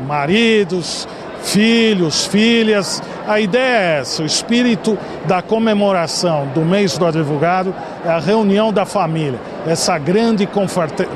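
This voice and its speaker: A man in his forties with a São Paulo Brazilian accent, answering interview questions in a formal, measured way. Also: high voice. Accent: Brazilian Portuguese, São Paulo. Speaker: bar association culture director, guest. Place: large indoor ballroom party. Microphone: handheld mic held by interviewer. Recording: noisy ballroom.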